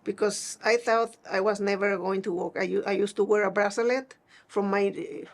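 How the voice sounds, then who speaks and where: A middle-aged woman with a northern Mexican Spanish accent and a medium-pitched voice shares personal stories in an interview. Her English is plain-spoken and somewhat halting, in a conversational style. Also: creaky. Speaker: seamstress being interviewed.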